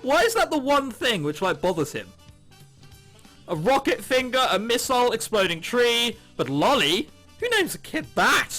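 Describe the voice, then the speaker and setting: A man's voice: extremely high pitch, big pitch swings, moderate pace, bright, forceful tone. A man reading a visual novel aloud, with sarcastic asides and swearing. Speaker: let's play host. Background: music.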